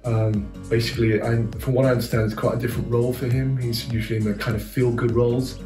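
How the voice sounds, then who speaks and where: A man's voice: mid-range voice, level tone, fast talker. A middle-aged man from the UK sharing opinions on a show casually, with many fillers and restarts, recorded on a sofa in a living room by a far camera. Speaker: viewer giving opinions. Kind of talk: casual reaction review. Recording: roomy echo, faint music.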